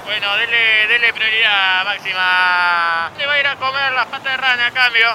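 Man doing high-pitched Argentine radio dispatcher. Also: moderate pace, sharp tone, a little hiss or hum, rough recording. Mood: officious. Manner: clipped announcements, repeats phrases. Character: officious, absurd male radio dispatcher and football reporter. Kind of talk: comic radio dispatch parody.

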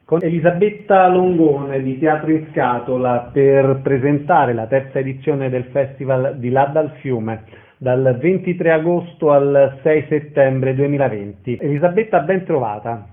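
A man's voice: medium pitch, a little slow, narrow, tinny audio. A man hosting a radio interview, introducing and asking short questions.

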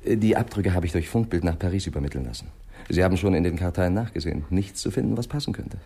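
A dutiful young-ranking detective in an old radio crime play. He is low and husky, and rattles off his reports briskly and eagerly. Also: dark tone, full character voice. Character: eager, dutiful male junior police inspector. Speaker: radio drama actor. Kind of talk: 1960s German radio crime drama acting.

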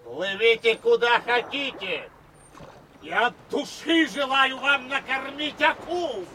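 An actor playing a volatile, spiteful sea captain in an audio drama, with a blustering, theatrical delivery. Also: male voice, very high-pitched, animated, slow, pushed and bright, slight background noise, heavily acted.

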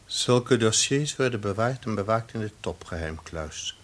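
Low-voiced, curt government official. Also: male voice, expressive, unhurried. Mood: stern, tense. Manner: curt, formal, defensive. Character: stern, cornered security-service official and husband. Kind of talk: Dutch radio play acting. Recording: old radio play with background noise.

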